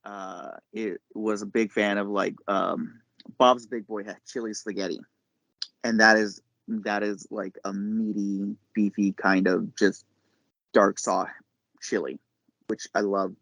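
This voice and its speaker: A man, probably middle-aged, talking casually as a podcast guest, explaining things in a halting, reflective way. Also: lowish voice, little pitch movement, vocal fry, a bit bright, no echo. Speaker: podcast guest, chef and caterer. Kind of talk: casual interview chat.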